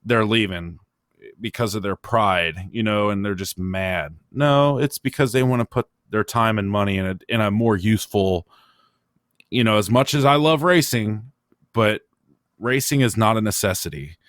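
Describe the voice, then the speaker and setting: A man with a fairly low voice hosts a casual motorsport podcast. He thinks aloud in a halting, opinionated way. The sound is very dry, with no room around him. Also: fairly bright tone, no room sound. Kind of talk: casual racing discussion, opinionated.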